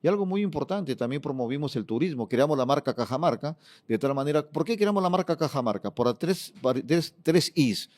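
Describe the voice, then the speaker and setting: A man's voice: on the high side, animated, brisk, darkish tone. A middle-aged Peruvian man answering interview questions as a politician, explaining at length with frequent fillers. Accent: Peruvian Spanish. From Peru. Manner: explanatory, fond of "fíjate" and "eh" fillers. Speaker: politician, former regional governor.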